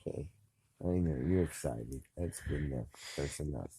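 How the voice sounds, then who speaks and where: A man in his sixties with a rough, worn voice, talking slowly and easily in a casual chat at home. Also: very deep voice, no echo. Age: 60s. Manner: slow, relaxed storytelling with drawn-out fillers.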